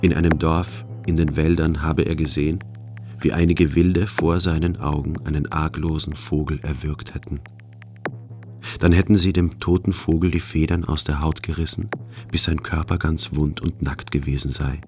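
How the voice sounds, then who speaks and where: An actor playing a callous, naive soldier who narrates a river mission in a radio play, formal, deadpan and measured. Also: male voice, extremely low pitch, soft background music. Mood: solemn, grave.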